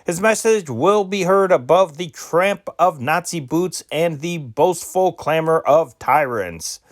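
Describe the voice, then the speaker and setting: A man with a somewhat high voice reads old newspaper dispatches aloud. His pace is measured, and he now and then trips on a word and corrects himself. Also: lively intonation. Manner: measured reading with self-corrected slips. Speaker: newspaper reader.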